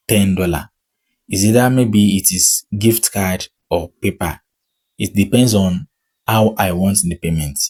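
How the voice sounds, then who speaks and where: A man's voice: fairly low pitch, a little mellow. A man whose first language is not English, walking viewers through a screen-share tutorial as an online money coach, reading on-screen text aloud and often checking in with "okay?".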